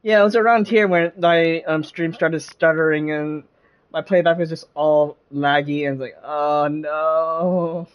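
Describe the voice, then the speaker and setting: A man's voice: fairly high pitch, steady tone, unhurried, smooth, highs cut off. A man giving casual live commentary while streaming a video game. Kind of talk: casual live stream commentary, reading some dialogue aloud. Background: video game music.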